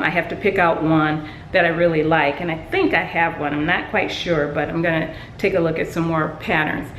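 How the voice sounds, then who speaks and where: A woman's voice: lowish voice, roomy sound, slight background noise, poor audio. A woman sewing vlogger casually showing her handmade work, chatty with many ums and restarts. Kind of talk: casual show-and-tell.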